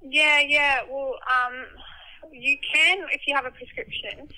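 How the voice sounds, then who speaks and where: A woman's voice: high-pitched, little pitch movement, unhurried, sharp tone. A woman speaking politely and hesitantly as a pharmacy worker on a customer service call over the telephone. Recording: thin telephone line.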